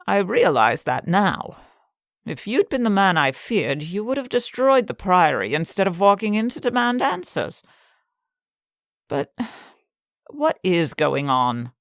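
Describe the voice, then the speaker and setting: A woman's voice: mid-range voice, very animated, measured pace, no echo, studio quality. A woman playing a hard, devout middle-aged prioress, stern and weary, firm and forthright in a dramatized fantasy audiobook. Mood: defensive, frustrated.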